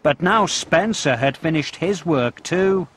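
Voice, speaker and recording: A man's voice: fairly high pitch, animated, a little slow, fairly bright tone. A man reading a scripted children's story as narrator, speaking directly to young listeners. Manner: addresses young listeners with questions and countdowns. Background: music.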